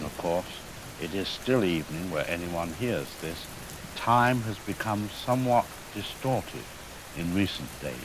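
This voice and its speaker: An actor playing a grave, erudite virologist in a radio drama, speaking formally and deliberately with weighty pauses and cold fatalism. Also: male voice, low voice. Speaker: expert guest. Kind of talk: scripted radio drama interview. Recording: hiss, rain and thunder noise. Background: rain, thunder, hiss.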